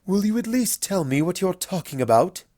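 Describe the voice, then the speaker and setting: A man's voice: high voice, animated, moderate pace, darkish tone, no echo, studio quality, pitched above the performer's own voice. A man voicing a proud, easily flustered younger pony princess in a story reading, speaking in a formal, indignant, questioning way. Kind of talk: fan fiction story reading.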